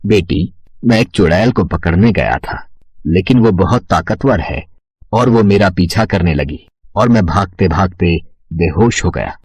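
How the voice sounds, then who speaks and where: A frail old male sage with a husky voice, played by a man, dry and close. He speaks haltingly, gentle and fatherly, as in a storybook tale. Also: low voice, lively intonation, no echo, heavily acted. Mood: weary. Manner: halting, grateful. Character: weak, grateful elderly holy man, gentle and fatherly. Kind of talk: acted dialogue in a moral bedtime story.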